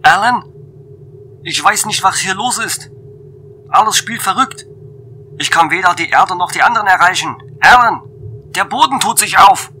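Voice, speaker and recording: A tense, piercing, high male voice acted by a man as an alarmed French station commander in a sci-fi audio drama. He is agitated, with faint noise behind him. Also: moderate pace, pauses often, bright, forceful tone, low-quality recording. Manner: agitated, breaking off mid-thought.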